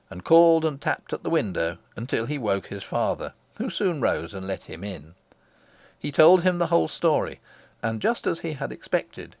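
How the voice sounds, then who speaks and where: A man narrating a children's fantasy audiobook in an expressive, scripted storybook style. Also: mid-range voice. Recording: voice over prominent background music. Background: music.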